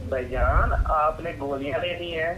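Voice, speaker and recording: Casting: Pakistani man as an exasperated, sarcastic supplier on the phone, fairly high voice, faintly noisy line. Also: measured pace, dull audio. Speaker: medicine supplier taking a phone order. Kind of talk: phone conversation.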